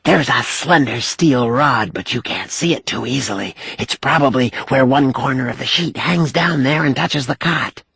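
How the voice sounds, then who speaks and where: A man voicing a dismissive know-it-all teenage boy, explaining smugly in a loud stage whisper for an audiobook. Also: mid-range voice, moderate pace, vocal fry, hardly any pauses, breathy edge, no echo, voice pushed up, full character voice. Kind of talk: audiobook character acting.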